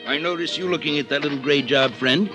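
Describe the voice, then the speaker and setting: A man's voice: on the high side, close to an everyday voice, low-quality recording. An actor playing a folksy, glib, blustering used-car salesman in a vintage radio drama. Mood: slick sales patter. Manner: glib, folksy, fast to protest. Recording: old-time radio recording with music.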